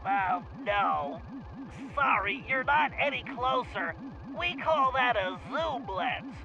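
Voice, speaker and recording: Nerdy young male gang planner for an animated game, extremely high register, cautious, precise, lecturing delivery. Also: slow, gravelly, lo-fi sound, soft background music, heavily acted. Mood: reassuring, instructive. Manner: precise, fussy, explanatory. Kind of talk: animated video game voice acting.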